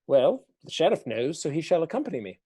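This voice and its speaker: Dark-voiced scheming nobleman. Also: on the high side, sing-song, breathy edge. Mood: scheming, commanding. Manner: measured, formal, archaic phrasing. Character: scheming, commanding male Earl, coolly menacing nobleman. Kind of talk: radio play acting.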